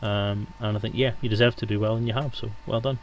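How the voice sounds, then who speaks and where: A man's voice: fairly low pitch, fairly even intonation, fast, rough recording. A man chatting casually on a live stream, relaying questions from viewers, with some noise in the recording.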